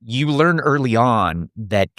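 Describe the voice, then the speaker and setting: A man's voice: mid-range voice, expressive, slow pace, a bit bright, no echo. Earnest man in his forties, dry sound. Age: 40s. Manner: earnest, thinks aloud with many restarts. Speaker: men's coach, podcast guest. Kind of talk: casual podcast conversation.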